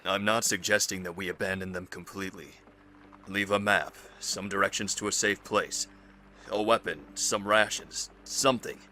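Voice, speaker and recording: A forceful, fairly low man playing a stern survivor leader in an amateur audio drama. He is pragmatic and decisive, speaking in terse phrases. There is faint noise behind him. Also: steady tone, normal speed. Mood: firm, grave.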